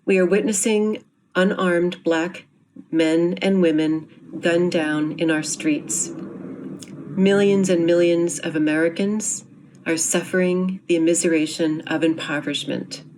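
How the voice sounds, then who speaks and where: A woman giving a prepared, formal speech with earnest, measured phrasing. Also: fairly low pitch, fairly even intonation, a little mellow, a little hiss or hum, poor audio. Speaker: speaker introducing a university theatre group.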